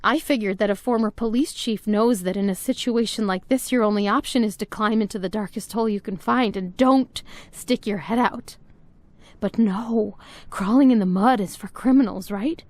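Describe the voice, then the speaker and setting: A woman's voice: medium pitch, normal speed, darkish tone, dry recording, natural voice. A woman playing an exasperated, biting character in a crime game drama, sardonic and reproachful. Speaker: voice actor in game cutscenes. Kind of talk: dramatic scripted dialogue.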